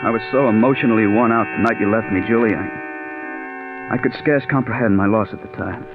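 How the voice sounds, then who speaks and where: An actor playing a bitter, stubborn, overworked scriptwriter in 1940s radio drama style, intense and brooding. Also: male voice, fairly low pitch, fairly quick, over music, close to an everyday voice. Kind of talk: 1940s radio acting with first-person narration. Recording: dull 1940s radio recording.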